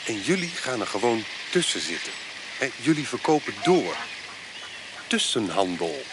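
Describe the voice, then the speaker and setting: A man's voice: mid-range voice, very animated, normal speed, heavy background noise, lightly acted. A man playing a glib colonial goods trader. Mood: persuasive. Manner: glib, persuasive, hesitant fillers. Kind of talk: radio play acting.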